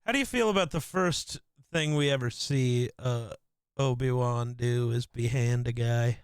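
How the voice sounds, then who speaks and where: A man co-hosting a casual podcast, an excitable fan who swears casually. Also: medium pitch, unhurried, clear, resonant tone, dry recording, clean studio sound. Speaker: podcast co-host. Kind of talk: casual chat.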